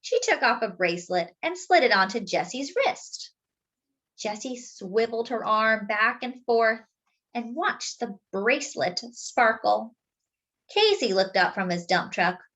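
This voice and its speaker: A woman reading a picture book aloud to young children, expressive and deliberate, drawing out key words. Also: a little high, muffled recording. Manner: deliberate, with drawn-out emphasis for young listeners. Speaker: children's storyteller.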